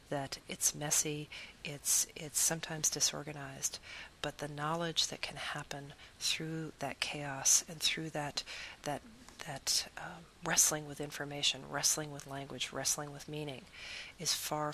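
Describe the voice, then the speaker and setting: A woman's voice: low-pitched, creaky, sharp tone, a little hiss or hum, low-quality recording. A woman who teaches Spanish, giving an earnest, reflective talk about her teaching and piling up long lists of phrases.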